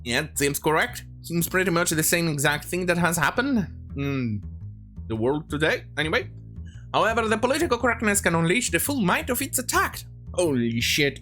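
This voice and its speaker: This is an opinionated online commentator in mid-rant, sarcastic and prone to swearing. The pitch swings up and down a great deal while the delivery halts and restarts. Guitar music plays along behind the voice. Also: a bit bright. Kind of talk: opinionated rant commentary, reading quotes aloud.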